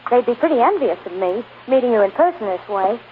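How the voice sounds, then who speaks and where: An actress playing an eager, nervous young waitress, starstruck yet candid, in a 1940s radio drama. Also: female voice, a little high, expressive, quick pace, narrow, tinny audio, lightly acted. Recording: thin, hissy 1940s radio broadcast.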